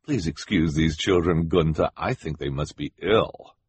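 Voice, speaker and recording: A man's voice: extremely low pitch, measured pace, breathy edge, no echo, voice pushed down, exaggerated voice. A man voicing a mild, conciliatory husband with an apologetic, pleading manner. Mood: placating.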